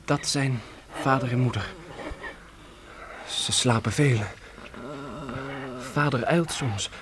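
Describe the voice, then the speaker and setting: A man's voice: medium pitch, slow pace, noisy background, lightly acted. An actor playing an earnest, dutiful fourteen-year-old pioneer boy in a radio play, polite and slightly hesitant. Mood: dutiful, subdued. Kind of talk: Dutch radio play acting.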